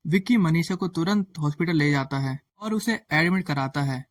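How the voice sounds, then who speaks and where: A man's voice: fairly high pitch, moderate pace, no echo. A man narrating a scripted moral story as a storyteller. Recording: faint background music.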